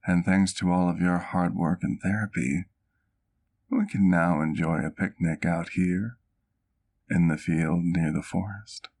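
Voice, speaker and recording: Casting: male actor for a genteel, doting vampire doctor in ASMR roleplay, low-pitched and unhurried, affectionate and gently teasing. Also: gravelly, heavily acted. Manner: genteel, formal diction, affectionate. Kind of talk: intimate ASMR roleplay acting.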